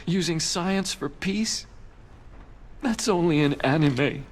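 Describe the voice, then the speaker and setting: A man's voice: a little high, expressive, slow, slight background noise. An actor voicing an anxious, idealistic young male scientist in a video game, earnest and emotional. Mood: sorrowful. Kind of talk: dramatic video game voice acting. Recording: faint background music.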